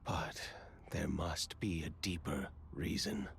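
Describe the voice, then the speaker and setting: An actor playing a composed, aloof young prince in a video game, speaking in a measured, formal and commanding way. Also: male voice, extremely low pitch, wildly swooping pitch, creaky, a little hiss or hum, full character voice. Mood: pensive, composed.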